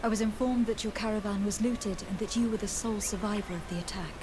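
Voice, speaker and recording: A woman playing a composed, diplomatic young noblewoman legate in a video game, speaking formally and asking measured questions. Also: medium pitch, fairly even intonation, noisy background, lightly acted. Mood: composed, polite. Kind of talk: scripted video game dialogue.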